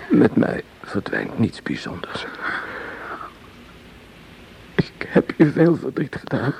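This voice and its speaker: An actor playing a dying, feverish young soldier in a radio drama, speaking weakly in halting, half-whispered phrases. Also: male voice, medium pitch, big pitch swings, creaky, darkish tone, poor audio. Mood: weak, resigned. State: feverish, weak, gasping. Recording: hissy radio drama recording.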